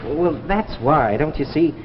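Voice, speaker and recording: An actor playing a timid, dreamy young amateur inventor in a 1950s science fiction drama, mild, wry and hesitant. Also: male voice, fairly high pitch, lively intonation, unhurried. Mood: earnest, self-doubting. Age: young adult. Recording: muffled, noisy 1950s broadcast with reverb.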